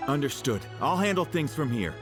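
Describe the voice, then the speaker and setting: A man's voice: mid-range voice, big pitch swings, brisk, lightly acted. A man voicing a dutiful, deferential aide to a noble official in an anime game, polite and formal. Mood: reassuring. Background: music.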